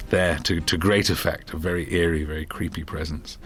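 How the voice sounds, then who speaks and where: A man's voice: very deep voice, steady tone, brisk, fairly bright tone. A man who wrote the episode, chatting casually behind the scenes and often stopping to restart his phrases. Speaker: writer of the episode.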